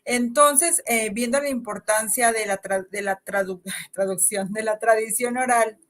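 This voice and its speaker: A woman's voice: a little high, measured pace, fairly bright tone. A woman hosting an interview, asking a guest a question with hesitant fillers. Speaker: interviewer, host.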